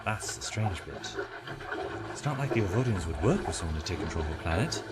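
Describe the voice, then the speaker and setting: An actor playing an earnest, principled doctor and adventuring hero in an audio drama, explaining things carefully. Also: male voice, low voice, expressive, fairly quick. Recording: loud music under the dialogue.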